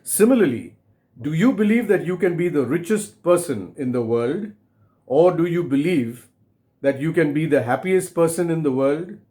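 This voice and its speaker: Male author reading his book aloud. Slightly high-set voice, very unhurried, deliberate phrasing, addresses the listener directly. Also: darkish tone. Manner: deliberate, short phrases with pauses, rhetorical questions.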